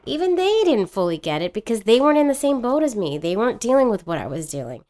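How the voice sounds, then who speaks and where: A woman's voice: on the high side, sing-song, fast talker, darkish tone. A woman co-hosting a podcast, talking casually and earnestly, often restarting and repeating her words. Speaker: podcast co-host. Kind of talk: casual conversation.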